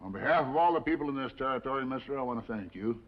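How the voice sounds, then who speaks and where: An actor playing a respectful, plain-spoken sheriff in a 1950s television western, with an American accent. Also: male voice, medium pitch, animated, rapid-fire, close to an everyday voice. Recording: muffled old TV soundtrack.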